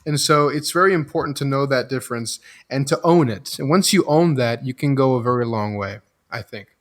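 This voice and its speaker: A young man from Belgium whose first language is French talks as a podcast guest. He thinks out loud and circles back on his points in an easy, casual way. He has an even, middle-pitched voice. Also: fairly quick.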